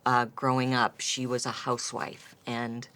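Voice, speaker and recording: A woman's voice: a bass voice, flat delivery, a little slow, bright, forceful tone, no room sound. A woman in her fifties speaking in a reflective, subdued way during a candid conversation. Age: 50s. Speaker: interviewee.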